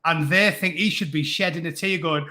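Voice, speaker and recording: A man's voice: on the high side, steady tone, moderate pace, very noisy. A man, a guest in a casual live chat, who talks bluntly and crudely in a ranting way.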